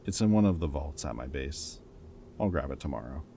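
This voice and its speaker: A man's voice: very deep voice, animated, brisk, vocal fry, dark tone, a little hiss or hum, exaggerated voice. A man playing a teasing but caring ex-villain in an intimate roleplay audio drama, speaking in casual, wry banter. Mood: playful, eager. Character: teasing, caring male ex-villain planning with old hero friend.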